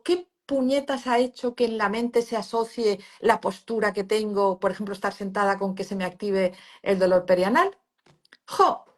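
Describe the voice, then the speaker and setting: Lively, emphatic Spanish woman from Spain. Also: fairly high pitch. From Valencia region, Spain. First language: Valencian. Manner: emphatic, expressive, teacherly, with many fillers and restarts. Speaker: pain therapist, guest. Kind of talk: explanatory interview talk.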